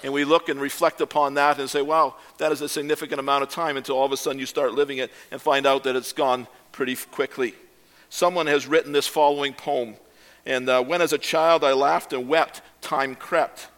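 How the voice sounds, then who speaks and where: An older man, a pastor, giving a measured, reflective sermon with occasional hesitations. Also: mid-range voice, little pitch movement, a bit bright.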